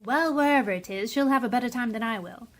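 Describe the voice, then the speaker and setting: Actress as bored society matron, fairly high voice, airy, languid, teasing, old-time radio play. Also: female voice, quick pace, natural voice. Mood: breezy.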